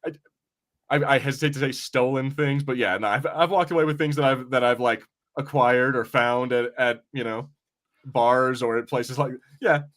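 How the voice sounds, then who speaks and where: A man's voice: medium pitch, steady tone, moderate pace, a bit bright. A man co-hosting a podcast, chatting casually and wryly with rambling, sweary commentary. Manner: rambling, profane, wry. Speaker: podcast co-host. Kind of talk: casual watch-party banter and commentary.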